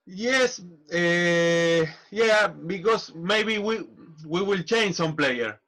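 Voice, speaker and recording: This man has a bright, forceful voice pitched a little high. He speaks halting non-native English full of restarts and repeated words. He is a football manager answering questions in a relaxed podcast chat. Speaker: football manager and former player, guest. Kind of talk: casual interview chat.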